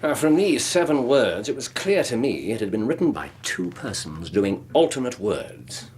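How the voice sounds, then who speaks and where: An actor playing a brilliant, self-assured English detective in a radio drama, precise, lecturing and wry. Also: male voice, medium pitch, big pitch swings, normal speed, a little mellow, a little hiss or hum, lightly acted. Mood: confident, lecturing.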